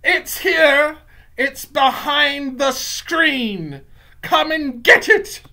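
A nasty storybook witch, played by a man, pitched far up high. The cries are piercing and stretched out into shrieks. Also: pushed and bright, exaggerated voice. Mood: triumphant. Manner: shrieking, exclaiming in drawn-out cries. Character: shrill, gleeful, nasty witches of a coven. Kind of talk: dramatised children's audiobook villains.

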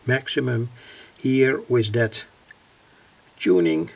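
A man from the Netherlands with a Dutch accent, explaining electronics informally in halting, deliberate phrases. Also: mid-range voice, expressive. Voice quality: gravelly, husky. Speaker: electronics hobbyist, vlogger. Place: indoors at a home electronics workbench.

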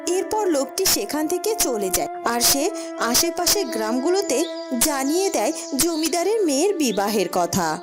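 A woman's voice: high voice, big pitch swings, moderate pace, over music. A woman narrating a cartoon fairy tale as a scripted storyteller.

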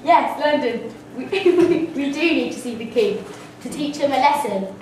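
Schoolgirl as a high-pitched bold rebel peasant. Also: animated, a little slow, darkish tone. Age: schoolgirl, about 12. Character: bold, scheming rebel peasant sock puppet. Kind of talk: amateur school puppet play. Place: school classroom. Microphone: distant camera. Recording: echoey classroom, distant, background noise.

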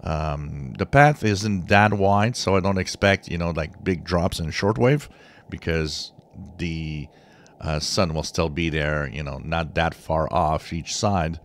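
Deep, creaky-voiced man explaining informally. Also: slow pace. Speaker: radio hobby channel host. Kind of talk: informal explanatory commentary over a screen share.

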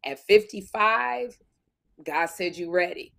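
A woman in her fifties, co-hosting a podcast, with a deep voice, telling stories animatedly and acting out what people say. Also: takes it slowly, gravelly. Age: 50s. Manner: animated storytelling, acts out quotes. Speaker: podcast co-host. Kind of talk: casual faith-filled chat.

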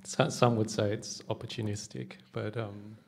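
Gravelly young Australian man, relaxed. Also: on the low side, normal speed. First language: Vietnamese. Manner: relaxed, loose, with fillers. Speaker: artist on a panel. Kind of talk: casual presentation. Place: stage before an audience. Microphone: handheld mic.

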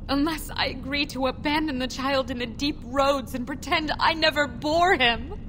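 A woman's voice: high-pitched, moderate pace, fairly bright tone, slight background noise. An anxious outcast dwarf mother. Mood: sorrowful. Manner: earnest, confiding. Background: game music.

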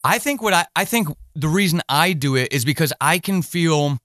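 A man's voice: on the high side, lively intonation, moderate pace, fairly bright tone, dry recording, clean studio sound. A middle-aged man hosting a conversational podcast interview, circling his way through wordy, hesitant phrasing.

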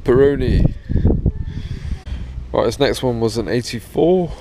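A man's voice: mid-range voice, a little slow. A middle-aged man chatting casually to himself as he digs up finds with a metal detector on a beach, recorded on a head-mounted action camera. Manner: chatty, upbeat, talks to himself while searching. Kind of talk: casual commentary while digging. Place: windy sandy beach outdoors. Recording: loud wind buffeting an action camera mic. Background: loud wind noise.

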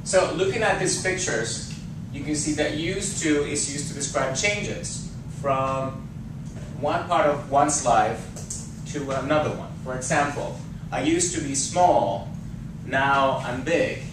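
A man's voice: mid-range voice, slow pace, background noise. A young man giving a practice lesson at a chalkboard, explaining hesitantly with fillers. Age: young adult. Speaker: teacher trainee. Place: large classroom. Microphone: far camera. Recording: echoey room from far off.

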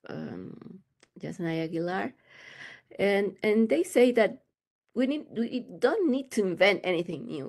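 A woman lecturer from Ecuador speaks with an Ecuadorian Spanish accent. Her voice sits fairly low and is distinctly mellow and relaxed. She presents academic material over a video call, pausing often as she picks her words. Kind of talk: slide lecture. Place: room with bookshelves, indoors.

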